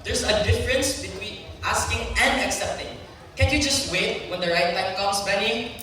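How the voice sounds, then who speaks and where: A man's voice: high-pitched, moderate pace, sharp tone. A teenage boy acting a frustrated, pushy older brother on a school stage, needling and resentful. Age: teens. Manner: needling, drawls when annoyed. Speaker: student actor. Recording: echoey hall, far from the mic, noisy.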